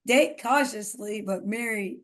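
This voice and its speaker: A woman's voice: medium pitch, measured pace. A woman co-hosting a podcast, talking earnestly and giving emphatic advice in a casual conversation. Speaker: podcast co-host.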